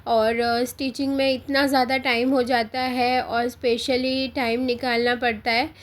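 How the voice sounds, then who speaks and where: A hoarse Indian woman in her thirties with a sore throat, narrating her vlog in a soft, unhurried voice-over with frequent pauses. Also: a little high, little pitch movement. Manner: soft, unhurried, pauses often with "uh", mixes English into Hindi. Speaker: vlogger. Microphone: close voice-over mic.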